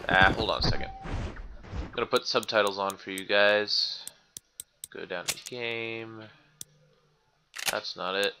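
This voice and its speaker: A man's voice: fairly low pitch, fairly even intonation, takes it slowly, long pauses, background noise. A man giving casual, conversational commentary as he plays a video game. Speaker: let's play commentator.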